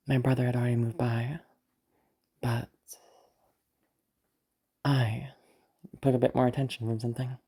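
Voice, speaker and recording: A woman acts out a cold, wry female captive in an intimate roleplay monologue. Her voice is very low, dark and full of fry. She muses in a deadpan, hesitant manner, taking her time. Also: measured pace, long pauses, exaggerated voice. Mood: musing, detached. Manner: hesitant, musing, deadpan, sighing.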